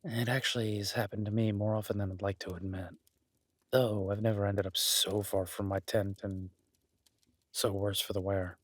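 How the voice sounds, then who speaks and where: A man playing a kind, protective woodsman in an intimate roleplay audio drama, speaking in an unhurried, reassuring and wryly teasing way with a husky voice. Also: on the low side, little pitch movement, no echo, lightly acted. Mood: sympathetic, wry. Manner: soft-spoken, unhurried, reassuring, wryly joking. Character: gruff-kind woodsman host, gently teasing, protective cabin dweller.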